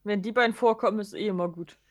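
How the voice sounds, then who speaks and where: A young woman, a guest on a casual fan podcast, talking in a calm, measured way. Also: medium pitch.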